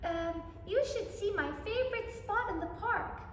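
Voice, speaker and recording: Woman as a high, stammering timid little schoolboy. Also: normal speed, distant mic, soft background music. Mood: shy. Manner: stammering, hesitant. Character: timid, nervous little schoolboy, eager to please. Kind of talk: children's picture book read-aloud. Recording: echoey room with faint noise.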